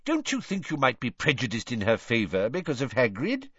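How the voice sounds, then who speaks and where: Man putting on a high, blustering government minister. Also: wildly swooping pitch, normal speed, a bit bright, no echo, dull audio, exaggerated voice. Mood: impatient. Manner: blustering, emphatic, pompous. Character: fussy, blustering, impatient older male government minister. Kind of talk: audiobook character acting.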